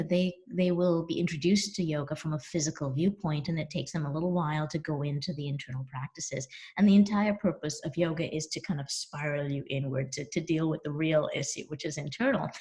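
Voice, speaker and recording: A woman, a yoga studio director who grew up in Trinidad and Canada, talking calmly and thoughtfully as an interview guest with frequent fillers. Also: fairly low pitch. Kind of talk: casual interview chat.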